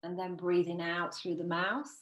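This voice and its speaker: A woman leading a guided visualisation as a meditation teacher, soothing and unhurried, with long pauses between phrases. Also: on the low side, level tone, muffled recording. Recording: roomy, echoing sound.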